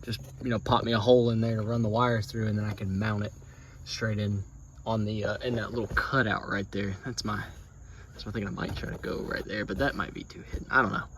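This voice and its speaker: A man's voice: on the low side, flat delivery, fairly quick, fairly bright tone, slight background noise. An American man talking casually and thinking aloud as he hosts a hands-on truck tutorial in a folksy, informal way. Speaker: DIY truck vlog host.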